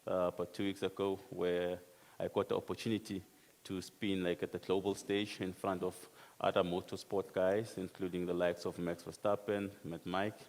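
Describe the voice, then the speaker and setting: Man from South Africa, very low and monotone, halting with fillers, hall echo. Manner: hesitant, filler-heavy. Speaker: panelist, car spinning athlete. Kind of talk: panel introduction. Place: conference hall. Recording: reverberant hall.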